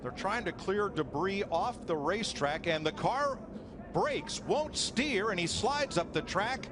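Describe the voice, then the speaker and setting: A man's voice: high voice, animated, moderate pace. A man calling a live motor race as lead commentator. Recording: loud engine noise behind. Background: roaring race cars at a speedway.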